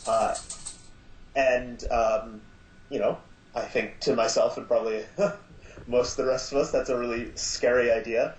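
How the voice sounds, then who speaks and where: A man guest on a podcast interview, talking casually about his show and pausing often with fillers. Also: mid-range voice, fairly even intonation. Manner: hesitant, many filler sounds. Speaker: podcast guest from an audio drama. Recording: echoey, dull lo-fi line.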